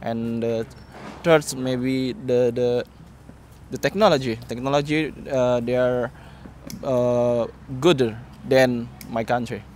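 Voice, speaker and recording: Man, Indonesian accent, halting English, interviewee, repeats words, hesitant, medium pitch, outdoor urban noise. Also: fairly even intonation, a little mellow. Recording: clear outdoor traffic noise.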